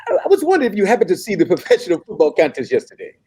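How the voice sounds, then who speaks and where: A man's voice: high voice, wildly swooping pitch, rapid-fire, dark tone, exaggerated voice. A man playing a polite, earnest young African prince with formal, hesitant courtesy in a comedy table read. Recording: clear background noise with clicks.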